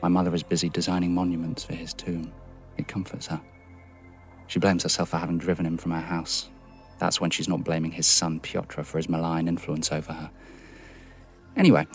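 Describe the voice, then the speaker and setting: A cool gentleman of a wealthy house, with a deep voice. He talks in a dry, understated way, as in a radio play, over faint music. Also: steady tone, a little fast. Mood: dry, detached. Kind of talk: radio drama acting.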